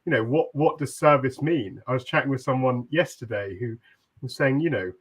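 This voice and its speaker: A man from the UK, speaking on a business webinar panel in thoughtful, winding sentences with frequent pauses and restarts. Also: mid-range voice, husky. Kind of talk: reflective webinar discussion.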